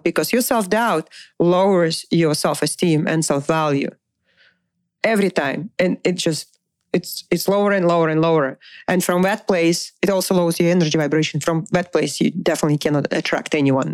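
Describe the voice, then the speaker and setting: Woman, middle-aged, lowish voice, dry recording, motivational coaching, emphatic, repetitive, rhetorical questions. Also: dry recording. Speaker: dating coach. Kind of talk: motivational coaching talk, informal and unscripted.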